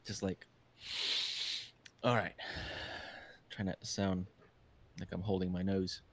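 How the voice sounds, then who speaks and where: Low-voiced man, slightly congested. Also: flat delivery, slow. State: a little congested. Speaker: podcast co-host. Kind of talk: casual podcast chat. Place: hot room with a fan.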